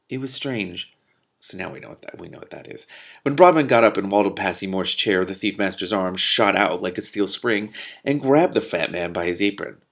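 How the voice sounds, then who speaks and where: A man's voice: medium pitch, creaky. A man reading a novel aloud expressively as host, breaking off with amused casual comments.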